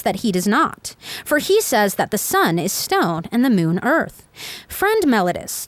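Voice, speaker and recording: A woman's voice: fairly high pitch, no echo. A woman voicing an elderly male philosopher who argues his own defense in court, pressing his accuser with pointed rhetorical questions. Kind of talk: reading a dialogue aloud.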